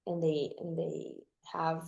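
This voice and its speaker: A woman's voice: low-pitched, level tone, slow, vocal fry, darkish tone, highs cut off. A young woman from Greece, a dancer, talking reflectively in an interview, often restarting and searching for words. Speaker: guest dancer.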